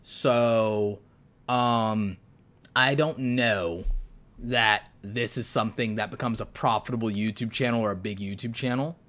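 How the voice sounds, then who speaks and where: A man's voice: on the low side, fairly even intonation, measured pace, a bit bright. Sick man losing his voice. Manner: frank, thinking aloud with many ums and restarts. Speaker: YouTube creator hosting live Q&A and channel reviews. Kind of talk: casual live-stream advice.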